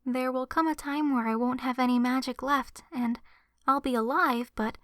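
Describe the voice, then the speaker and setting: A woman playing a gentle, shy young adult succubus in an ASMR roleplay, speaking softly and earnestly to the listener as a confiding best friend. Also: high voice, fairly even intonation, normal speed, no echo, clean studio sound. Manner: soft, earnest, hesitant, confiding. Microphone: close microphone in a dry space.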